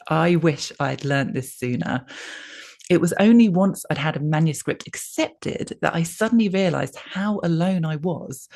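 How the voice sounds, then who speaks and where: A woman reading a written advice article aloud as scripted narration, in measured, clearly phrased sentences. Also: deep voice, darkish tone.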